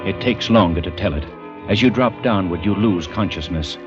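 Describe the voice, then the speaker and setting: A man's voice: deep voice, normal speed, natural voice. An actor playing a grim inner voice, narrating a dying man's sensations with insistent intensity in 1940s radio style. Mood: ominous intensity. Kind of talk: 1940s radio acting, second-person dramatic narration. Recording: muffled 1940s radio, loud music behind.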